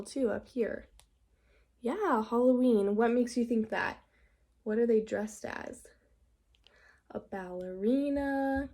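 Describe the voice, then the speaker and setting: A woman's voice: fairly high pitch, dark tone. A woman reading a storybook aloud to young children in a teacherly way, prompting them with questions.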